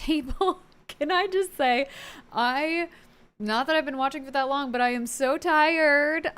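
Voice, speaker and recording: A woman giving a casual, opinionated vlog recap in an expressive, gushing manner. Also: on the high side. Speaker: wrestling review vlogger.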